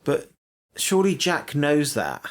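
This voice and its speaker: A man's voice: a little high, wildly swooping pitch, a little slow. A man co-hosting a casual podcast chat in a playful, teasing way. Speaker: podcast co-host.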